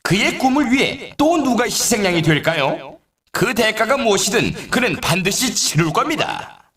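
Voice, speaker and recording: A showy snowboard race announcer calls the competition with eager, theatrical drama. His voice sits high and moves animatedly up and down. It rings with roomy echo, like scripted arcade game commentary. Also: very animated, moderate pace, a bit bright, exaggerated voice. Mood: dramatic, eager. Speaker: sports announcer. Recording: roomy echo, distant from mic.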